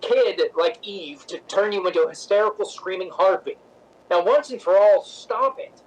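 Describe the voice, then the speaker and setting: A man's voice: high voice, moderate pace, rough recording. A man playing a weary, frank boyfriend who is firm and dryly pleading, in a radio drama. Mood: exasperated, insistent. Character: weary, frank, loving boyfriend of a temperamental actress. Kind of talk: radio drama acting in 1950s style.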